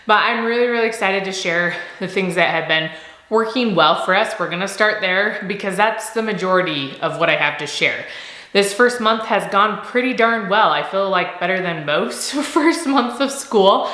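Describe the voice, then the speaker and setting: A woman in her thirties, a homeschooling mom, chatting casually in a vlog, slightly nasal from a cold, upbeat and quick to laugh. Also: medium pitch, expressive, sharp tone, roomy sound, rough recording. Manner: upbeat, laughs often, repeats words for emphasis.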